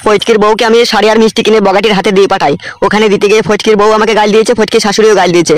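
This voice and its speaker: Sheepish young cartoon troublemaker, acted by a man: high-pitched, whiny, hurried, close dry recording. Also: little pitch movement, dry recording, natural voice. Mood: defensive. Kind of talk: comic cartoon dialogue.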